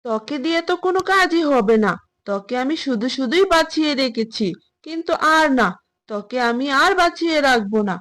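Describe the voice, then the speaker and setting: A woman's voice: high-pitched, lively intonation, fairly bright tone. A woman voicing a boastful, greedy cartoon tiger husband. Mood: scornful, angry. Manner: boastful, exclaiming, with drawn-out laughs. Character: gloating, greedy adult male tiger farmer, scornful husband. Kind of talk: Bangla cartoon fable voice acting.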